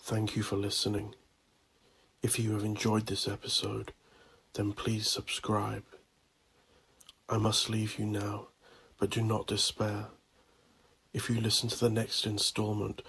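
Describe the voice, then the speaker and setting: A man with a low, raspy voice narrates dark horror fiction. His delivery is ominous and theatrical, with deliberate phrasing and a heavy creak in the tone. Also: completely flat delivery. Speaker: audio drama narrator and host.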